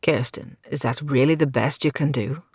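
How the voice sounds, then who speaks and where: A reader voicing a weary court steward who speaks briskly and sounds exasperated. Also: male voice, mid-range voice, fairly even intonation, slightly rough, no echo, clean studio sound.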